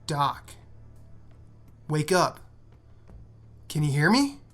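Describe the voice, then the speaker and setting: An actor playing an excitable young-sounding male Marine in a sci-fi audio drama, exclaiming under stress. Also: on the high side, very slow, faint music behind, heavily acted. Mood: alarmed.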